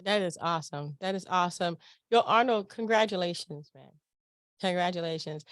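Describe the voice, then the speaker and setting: A woman's voice: fairly low pitch, normal speed, no room sound, clean studio sound. A woman hosting a casual chat interview, speaking in an informal, slangy and effusive way. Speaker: interview show host.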